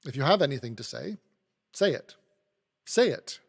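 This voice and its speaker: Man acting a curt, gravelly old lawyer. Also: medium pitch, lively intonation, unhurried, big-room sound. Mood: impatient. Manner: brusque, condescending, impatient. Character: dry, curt, domineering older male lawyer.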